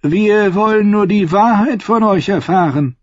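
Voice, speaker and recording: A man voicing a sinister torturer lord in an audiobook, courteous and concerned with quiet menace. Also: high voice, measured pace, no room sound, lo-fi sound, voice pushed up. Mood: falsely kind. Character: softly polite, sinister male torturer lord.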